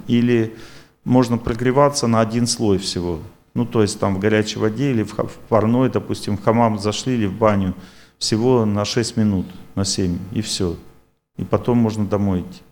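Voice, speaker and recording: A man's voice: fairly low pitch, a little mellow. A man lecturing an audience and taking their questions, talking in a rambling, repetitive but self-assured way.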